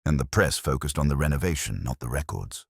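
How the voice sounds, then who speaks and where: A man voicing a courteous, incisive police inspector in a mystery story, measured and decisive. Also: a bass voice, lively intonation, gravelly, slightly rough, no echo, studio quality, full character voice.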